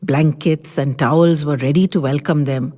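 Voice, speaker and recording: A woman narrating a family story in an expressive storybook manner. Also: sits low, moderate pace, long reverb tail.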